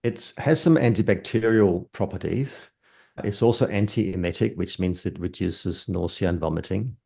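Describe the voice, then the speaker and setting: Low gravelly older man. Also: dark tone. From Denmark. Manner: measured, explains terms step by step. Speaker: herbalist. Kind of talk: explanatory interview answers.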